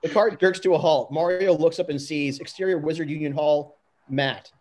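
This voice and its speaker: Slightly high-voiced male stage-direction reader. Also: steady tone, normal speed, darkish tone. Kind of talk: plain amateur script narration.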